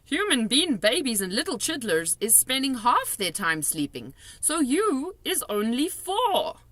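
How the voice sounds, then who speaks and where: A woman voicing a kindly, excitable old male giant from a children's storybook, earnest and emphatic, with muddled grammar and nonsense words. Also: high voice, unhurried, dry recording, voice pushed up, full character voice.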